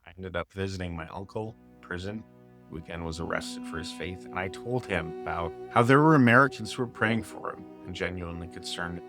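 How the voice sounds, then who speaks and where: A man's voice: low voice, brisk, over music. A man telling a personal faith testimony in a casual, conversational way, with fillers like "kinda" and "like". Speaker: speaker sharing a testimony.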